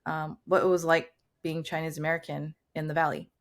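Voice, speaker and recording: A woman's voice: low-pitched, little pitch movement, a little fast. A woman from Southern California hosting an interview, reading a short intro and then chatting with many "um"s and "like"s. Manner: friendly, filler-heavy with "um" and "like". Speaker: podcast host.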